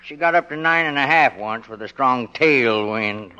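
A man's voice: mid-range voice, moderate pace, bright, forceful tone, a little hiss or hum, lightly acted. A man playing a wounded, grumbling small-town horse owner in 1950s radio comedy, mournful and reproachful. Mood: hurt, reproachful. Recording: dull vintage 1950s radio recording.

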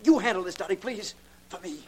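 An actor playing a nervous, pleading young office assistant, urgent and melodramatic, in a 1940s radio drama. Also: male voice, high voice, expressive, a little fast, noisy background. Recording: old 1940s radio recording.

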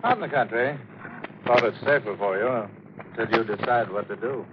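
A sensible, protective American man , played by an actor, in a vintage radio mystery. His voice is fairly low, with a firm, soothing and practical delivery. It comes through a narrow, tinny broadcast with a little background hiss. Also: normal speed, lightly acted. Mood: calm, persuasive. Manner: reassuring, firm, clipped practical talk. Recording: tinny, faintly noisy 1940s radio broadcast.

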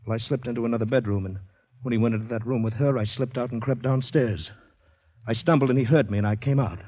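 An actor playing a distraught, haunted man in a 1940s radio drama, telling his story in breathless, halting dramatic phrases. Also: mid-range voice, dark tone, slightly rough, natural voice. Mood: shaken. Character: distraught haunted man recounting finding a murdered woman. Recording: muffled old radio broadcast recording.

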